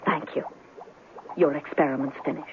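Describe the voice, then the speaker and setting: An actress in a 1970s radio drama playing a devoted, encouraging lab assistant, earnest and precise. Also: female voice, deep voice, steady tone, moderate pace, narrow, tinny audio. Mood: soothing, encouraging. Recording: thin vintage radio with faint noise.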